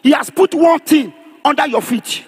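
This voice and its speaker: A man preaching in an emphatic, declamatory charismatic sermon style, often rising to a shout and repeating phrases for emphasis. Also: very high-pitched, moderate pace. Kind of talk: charismatic sermon with call and response.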